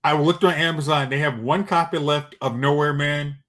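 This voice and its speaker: A man's voice: on the high side, fairly even intonation, normal speed, a bit bright. A man co-hosting a podcast, chatting casually about TV shows in a conversational way. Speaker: podcast co-host.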